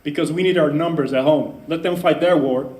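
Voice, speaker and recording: A man in his twenties from the Netherlands makes his point to the room with earnest conviction. His voice sits somewhat high and rings in an echoey space. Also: a little fast, darkish tone. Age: 20s. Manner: earnest, apologetic about swearing. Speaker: audience speaker at a debate. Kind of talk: public debate speech.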